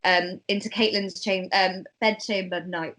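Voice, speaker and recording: Woman narrating script directions, mid-pitched. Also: steady tone, a little slow, fairly bright tone. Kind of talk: scripted narration in an amateur table read.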